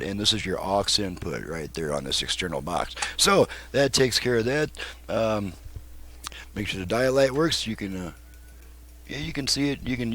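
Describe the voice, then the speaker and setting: A man's voice: lowish voice, fairly bright tone. A slightly tired man giving a casual, rambling, folksy demonstration as a repairman showing his work. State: a bit fatigued. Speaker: car radio repairman presenting his work.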